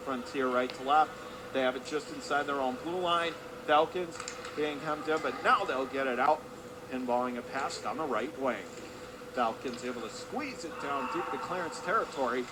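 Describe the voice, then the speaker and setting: A man's voice: on the high side, moderate pace. A man calling a hockey game live for radio as play-by-play announcer, in clipped running phrases that lift with the action. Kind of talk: live radio sports commentary. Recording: clear background noise of an ice rink.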